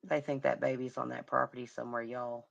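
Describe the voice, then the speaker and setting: Hoarse woman host, heavy vocal fry, murmuring commentary, lo-fi stream sound. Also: a bass voice, little pitch movement, fairly quick. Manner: murmuring, sympathetic, hums to herself. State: hoarse, losing her voice. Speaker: livestream host. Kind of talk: casual livestream commentary on a crime case.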